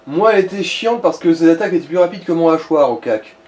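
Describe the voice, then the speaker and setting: A man's voice: on the high side, lively intonation, quick pace, darkish tone. A man giving casual, blunt game commentary and advice to a player, with crude slang. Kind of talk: casual let's play commentary. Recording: light background noise.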